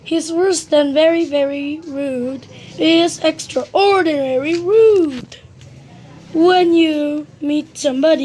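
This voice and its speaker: A woman reading a children's storybook aloud as narrator, in an expressive, deliberate way. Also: high-pitched, a little hiss or hum.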